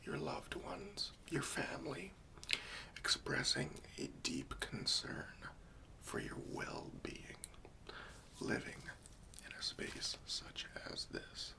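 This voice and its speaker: A man playing a gentle, patient, faintly wry professional cleanup worker in a softly whispered, hesitant ASMR roleplay. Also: fairly high pitch, animated, takes it slowly, gravelly, pitched above the performer's own voice, full character voice, rough recording. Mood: tactful, reassuring. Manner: soft, hesitant, soothing, politely tactful.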